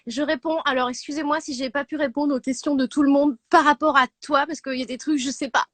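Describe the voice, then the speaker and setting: A fairly high-voiced woman rambles and jokes in a mock-formal way on a video call. She sounds dry and close to the mic. She is in her thirties and a guest on a live stream. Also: fairly even intonation, fairly quick, fairly bright tone, no echo. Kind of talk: casual chat.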